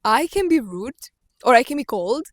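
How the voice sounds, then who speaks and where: A woman's voice: fairly high pitch, very animated, moderate pace, dry recording, studio quality. Chatty young woman from Romania. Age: young adult. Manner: candid and chatty, laughs often, fillers like "like" and "literally". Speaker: vlogger. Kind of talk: casual reflective monologue to camera.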